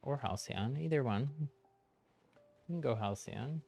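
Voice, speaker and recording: A man's voice: medium pitch, lively intonation, unhurried, darkish tone, breathy edge, soft background music. A man chatting casually and easily as he live-streams a game. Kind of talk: casual live-stream chat while gaming.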